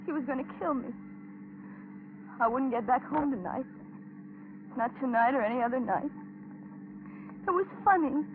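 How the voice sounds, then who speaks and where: An actress playing a frightened, lonely young waitress, tense and hesitant, in a 1940s radio thriller. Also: female voice, high voice, lively intonation, moderate pace, pauses often, background noise. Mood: fearful, wistful. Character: frightened, lonely young waitress held captive, telling her ordeal. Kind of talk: 1940s radio thriller acting and first-person narration. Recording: tinny 1940s radio recording with music.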